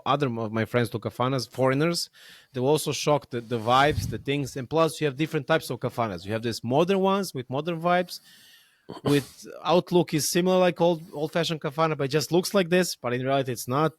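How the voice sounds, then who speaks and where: A man's voice: mid-range voice, no echo, studio quality. Chatty man who laughs a lot. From Serbia. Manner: laughs often, halting with restarts and fillers. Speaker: podcast host. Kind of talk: casual conversation.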